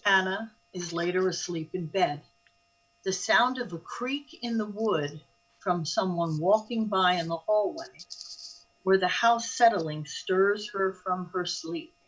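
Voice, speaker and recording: A woman's voice: on the low side, takes it slowly, husky, muffled recording. A woman reading scripted narration for a radio drama, describing the action as narrator.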